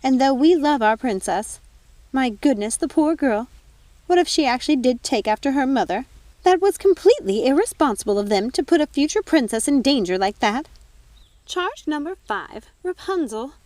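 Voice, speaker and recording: Brief: storybook fairy godmother, old, prim and bossy, very high and swooping, full of officious indignation. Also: lively intonation, moderate pace, a little mellow, no room sound. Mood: officious outrage.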